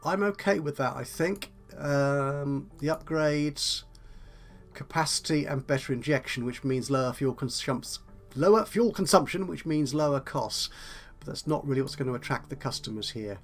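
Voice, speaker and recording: Croaky man thinking aloud. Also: a little high, moderate pace, soft background music. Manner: chatty, thinking aloud, muttering asides. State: slightly croaky. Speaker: let's play host. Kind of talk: casual gameplay commentary.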